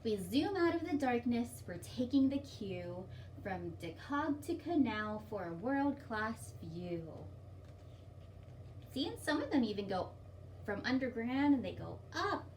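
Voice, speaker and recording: High-voiced woman leading children's story time. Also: very animated, a little slow, a little mellow. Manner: simple, encouraging talk with questions to children. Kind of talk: sing-along and picture book read-aloud for young children. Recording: distant mic, faint background noise.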